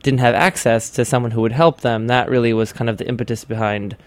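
A young American man from Hawaii telling his story as an interview guest, casually, with halting restarts. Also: mid-range voice, fairly even intonation, no echo. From Hawaii, United States.

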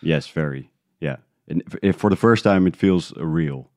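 A man from the Netherlands, talking casually in an interview, halting as he thinks aloud. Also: extremely low pitch, darkish tone, dry recording, clean studio sound. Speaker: guest, independent podcast creator.

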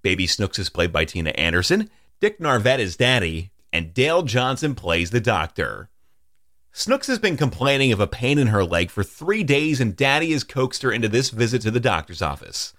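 A man hosting a podcast, reading a measured scripted introduction in a studio. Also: medium pitch, big pitch swings, fairly bright tone, dry recording. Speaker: podcast host.

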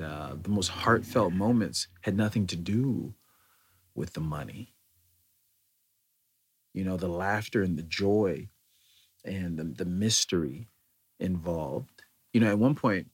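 A man's voice: sits low, breathy edge. A man casually telling a rambling personal anecdote, often correcting himself and chuckling as he goes. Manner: rambling, self-correcting storyteller.

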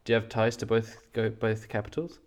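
A man's voice: fairly low pitch, monotone, a little fast, gravelly, darkish tone. A man hosting a podcast, reading a scripted intro and then chatting with a guest, often restarting his questions. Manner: hesitant, many restarts when interviewing. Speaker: podcast host. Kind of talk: scripted intro and casual interview.

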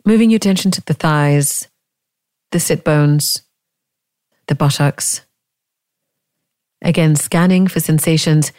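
Slow, mellow woman guiding meditation. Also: low-pitched, dry recording, clean studio sound. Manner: gentle, invitational questions with long pauses. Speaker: meditation teacher. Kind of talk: guided meditation.

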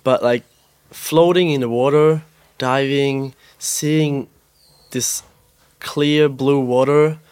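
A young man from Austria, a guest in a podcast interview, talking in a relaxed way with lots of "like" and "um" and an easy laugh. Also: mid-range voice, smooth, no echo. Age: young adult. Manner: relaxed, fills pauses with "like" and "um", laughs easily. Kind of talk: casual interview chat. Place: pop-up store.

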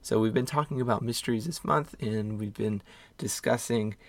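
A man hosting his own wellness podcast, slightly hoarse, upbeat and encouraging, with corny jokes and some thinking aloud. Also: medium pitch, moderate pace, no echo, studio quality. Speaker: podcast host. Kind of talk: casual solo podcast talk.

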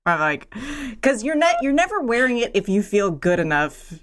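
A woman's voice: medium pitch, sing-song, normal speed. A young woman co-hosting a casual podcast chat, making wry jokes and adding supportive asides. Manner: wry, joking, supportive interjections. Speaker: podcast co-host.

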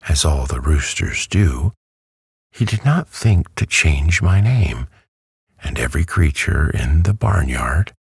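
A man reading a storybook who voices a prim, easily offended female yellow hen. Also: rumbling low, creaky, breathy edge, dry recording, studio quality, voice pushed up, full character voice.

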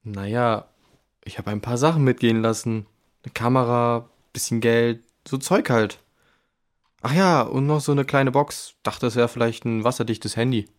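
A man's voice: medium pitch, steady tone, moderate pace, close to an everyday voice. A man playing an offhand, evasive boy thief in a children's audio drama, with slangy, nonchalant talk. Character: cagey boy thief from a poacher gang, offhand.

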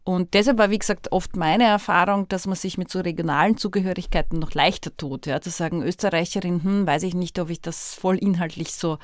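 A woman's voice: lowish voice, steady tone, brisk, hardly any pauses, no room sound, studio quality. A woman with an Austrian accent hosting a podcast, talking reflectively and searching for her words. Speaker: podcast host. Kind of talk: reflective conversational interview.

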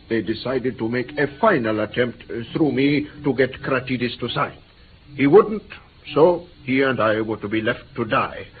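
A man's voice: medium pitch, close to an everyday voice. An actor playing a shaken, earnest male interpreter who tells his ordeal hesitantly in a vintage radio drama. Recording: tinny, noisy vintage radio recording.

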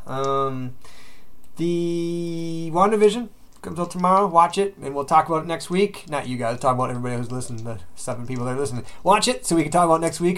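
A man hosting a podcast, chatting casually with friends and telling stories in an animated, sweary way. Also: a little high, smooth. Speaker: podcast host. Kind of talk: casual chat among friends.

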